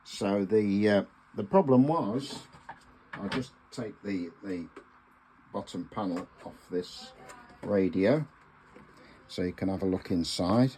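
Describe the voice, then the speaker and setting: Slow, low-voiced older man. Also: mellow, a little hiss or hum, poor audio. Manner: halting, deliberate, with frequent pauses and hesitations. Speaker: amateur radio hobbyist presenting a video. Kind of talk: informal hands-on demonstration talk.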